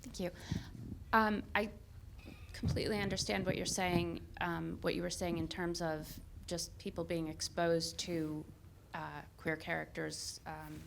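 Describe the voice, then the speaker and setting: A woman's voice: on the low side, little pitch movement, unhurried, gravelly, background noise. A woman in the audience asking a careful, earnest question.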